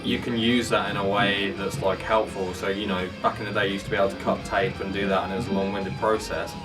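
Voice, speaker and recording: A man's voice: on the low side, little pitch movement, quick pace. A man in a band chatting casually and enthusiastically through an album commentary, often swearing or saying "like" and "you know". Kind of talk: casual chat. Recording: distant mic, loud guitar music behind. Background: band's album track playing.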